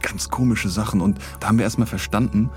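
A man's voice: lowish voice, fairly even intonation, quick pace, faint music behind. A man hosting a podcast, retelling things in a casual, humorous, chatty way. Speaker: podcast host.

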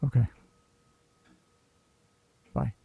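A man's voice: extremely slow, narrow, tinny audio. An actor playing a rattled, needy man who talks in a hesitant, jittery way in a naturalistic audio drama. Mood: anxious, pleading. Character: anxious, sweary male tape listener, needy and rattled.

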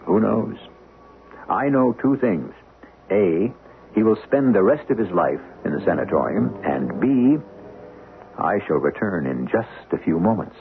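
Low-voiced theatrical male radio host. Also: lively intonation, a little slow, slight background noise. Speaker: host and narrator of a mystery radio show. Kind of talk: dramatic scripted radio narration. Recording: dull vintage radio broadcast with music.

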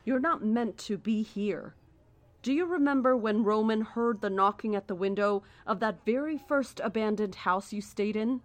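An actress playing a cryptic, coldly certain cult founder in a horror audio drama, speaking in a measured, formal and deliberate way. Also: female voice, mid-range voice, no room sound, close to an everyday voice. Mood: cold, accusing.

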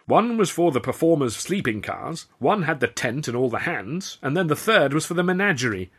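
A man's voice: fairly high pitch, big pitch swings, moderate pace, fairly bright tone, husky, pitched above the performer's own voice, full character voice. A man voicing a boastful, theatrical old showman telling emphatic anecdotes in an audiobook.

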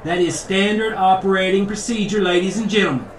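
An actor playing a pompous, indignant, crooked church leader with a preachy, folksy manner in a comedic audio drama. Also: male voice, high voice, brisk, a little hiss or hum, lightly acted. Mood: blustering. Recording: echoey room, distant, crowd behind.

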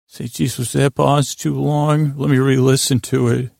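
Gravelly man rambling through a bedtime monologue. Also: medium pitch, little pitch movement, dry recording, clean studio sound. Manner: halting and meandering, with stammers, repeats and long pauses; gently whimsical, wandering off on tangents. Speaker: podcast host. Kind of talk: rambling bedtime monologue to lull listeners to sleep.

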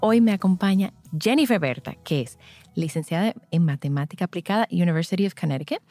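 A woman's voice: on the low side, lively intonation, a little fast, dark tone, no echo, soft background music. Woman podcast host, dry close sound. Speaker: podcast host, birth and postpartum educator. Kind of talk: podcast intro and conversational interview.

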